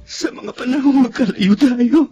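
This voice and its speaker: A man's voice: very high-pitched, moderate pace, dark tone, lo-fi sound, soft background music. An actor playing an anguished young man secretly in love with his male friend, in melodramatic radio drama style. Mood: anguished, tearful. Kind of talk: Tagalog radio drama acting.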